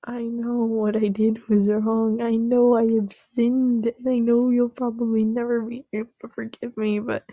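A woman's voice: a little high, flat delivery, unhurried, few pauses, warm tone, no echo, muffled recording. A woman playing a sheepish young boyfriend in a roleplay audio drama, stammering and pleading apologetically. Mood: panicked pleading.

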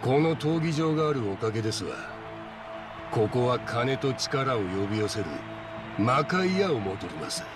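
An actor with a Kansai accent playing a tough, deferential yakuza lieutenant in a video game. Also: male voice, mid-range voice, animated, moderate pace, music in the background, natural voice. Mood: respectful. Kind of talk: cinematic video game acting.

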